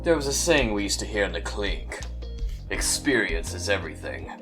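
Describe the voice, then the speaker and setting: A man's voice: fairly low pitch, lively intonation, normal speed, music in the background, close to an everyday voice. A man voicing a cold, menacing male prosecutor in a fan audio drama, speaking in clipped threats. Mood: measured. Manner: clipped, menacing, calls others "little lamb".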